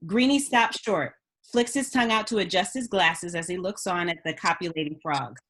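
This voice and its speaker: A woman's voice: fairly low pitch, moderate pace, fairly bright tone. A woman narrating stage directions from a script in a scripted reading style.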